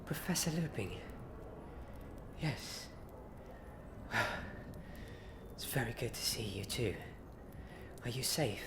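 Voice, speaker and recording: A man playing a welcoming wizard-school teacher in an ASMR roleplay, speaking softly and a little haltingly. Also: mid-range voice, animated. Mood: relieved, concerned. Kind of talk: soft-spoken ASMR roleplay. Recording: clear ambient noise behind the voice.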